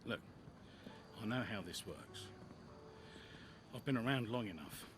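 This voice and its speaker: An actor playing a blunt, coaxing older racing team mentor in a video game cutscene. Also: male voice, lowish voice, expressive, unhurried, noisy background. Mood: earnest.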